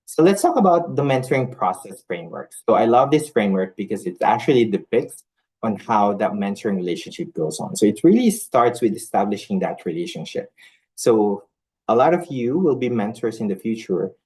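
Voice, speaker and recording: A man's voice: fairly low pitch, darkish tone. A man born in the Philippines, whose first language was not English, giving a conference talk on nursing mentorship in an earnest way, with many fillers and restarts. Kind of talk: conference talk with live audience polls.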